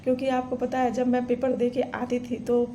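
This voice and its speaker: A woman, talking earnestly and encouragingly to camera. Her voice is mellow and fairly high, yet it stays flat and even. A faint room hum sits behind her. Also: moderate pace. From Bihar, India. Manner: earnest, encouraging, many fillers and restarts. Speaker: vlogger. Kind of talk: casual personal story to camera.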